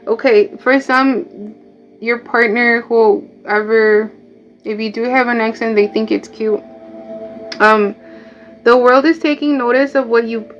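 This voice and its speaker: A woman's voice: a little high, a little hiss or hum. Mexican-accented woman giving a tarot reading. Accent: Mexican Spanish. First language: Spanish. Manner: chatty, repeats words, drifts into asides. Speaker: tarot reader. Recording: dull lo-fi audio, faint music.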